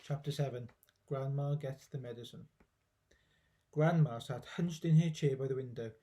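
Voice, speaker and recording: A man's voice: mid-range voice, moderate pace, warm tone. A man reading a children's storybook aloud expressively, with dramatic emphasis, like a teacher.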